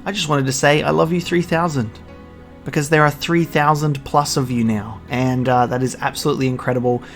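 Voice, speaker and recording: A man's voice: mid-range voice, fairly even intonation, a little fast. A man in his twenties with an Australian accent chats casually to his channel's subscribers in an earnest, hesitant way. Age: 20s. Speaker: YouTube gaming channel creator. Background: music with guitar.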